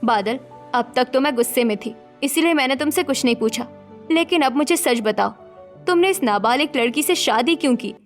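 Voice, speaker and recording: A woman's voice: high voice, expressive, normal speed, faint music behind. A woman voicing a stern, exasperated elder sister and housewife in a cartoon story, lecturing and scolding. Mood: probing. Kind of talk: Hindi cartoon story voice acting.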